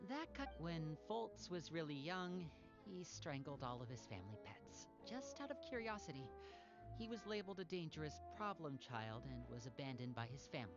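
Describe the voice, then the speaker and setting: A woman voicing a calm, protective young adult in a video game, explaining things in a reassuring way. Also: deep voice, moderate pace. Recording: muffled game audio with music.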